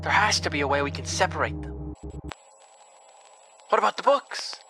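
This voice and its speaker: An actor in a horror audio drama playing a sad, frightened young ghost girl who talks haltingly and repeats things like a child. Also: mid-range voice, expressive, over music, natural voice. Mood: hopeful, earnest. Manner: halting, repetitive, childlike speech.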